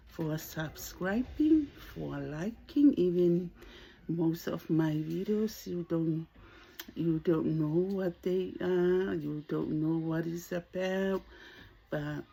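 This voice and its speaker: Slow-spoken Samoan woman in her sixties. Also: on the low side, creaky, warm tone, breathy edge. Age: 60s. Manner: hesitant, searching for English words. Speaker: vlogger. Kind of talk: casual talk to camera.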